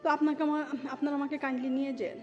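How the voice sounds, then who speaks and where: A woman's voice: sits high, level tone, rapid-fire, dull audio, soft background music. A woman telling her personal story emotionally as an interview guest, mixing in English words.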